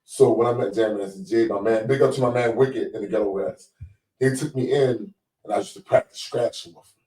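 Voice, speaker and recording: A man, telling rambling stories in casual chat as a podcast host. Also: a little fast. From Baltimore. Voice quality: deep voice. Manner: laid-back, rambling storyteller with shout-outs. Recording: roomy echo, distant mic.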